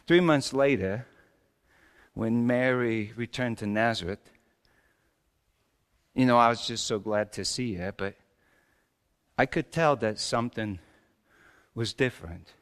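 A man playing Joseph, a wry, bewildered carpenter, in a halting, colloquial and theatrically emotional dramatic monologue. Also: medium pitch, voice pushed down, natural voice. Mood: hurt, subdued. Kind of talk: dramatic comic monologue in a sermon. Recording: echoey hall with long reverb.

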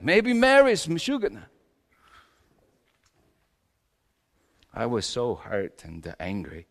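A man playing Joseph, a wry, bewildered carpenter, in a halting, colloquial and theatrically emotional dramatic monologue. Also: on the low side, fairly bright tone, smooth, pitched below the performer's own voice. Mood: hurt, incredulous. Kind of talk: dramatic comic monologue in a sermon. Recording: echoey hall with long reverb.

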